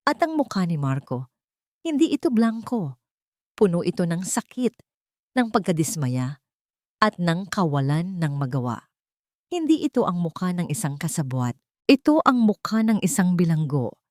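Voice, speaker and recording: A woman's voice: on the low side, a little mellow, dry recording, studio quality. A woman reading scripted dramatic narration as the storyteller of a romantic tale.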